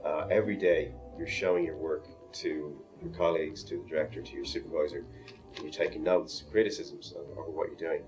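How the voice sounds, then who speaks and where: Deep-voiced man interviewed over music. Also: steady tone, a little fast, darkish tone. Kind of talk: behind-the-scenes interview.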